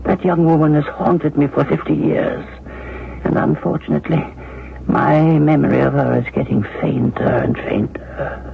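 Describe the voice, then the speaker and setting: An actor playing a frail, formal old gentleman with a creaky, halting voice in a 1970s radio drama. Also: fairly high pitch, fairly even intonation. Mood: wistful. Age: older. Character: frail, heartsick old gentleman haunted by lost love. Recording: noisy, phone-like old radio broadcast recording.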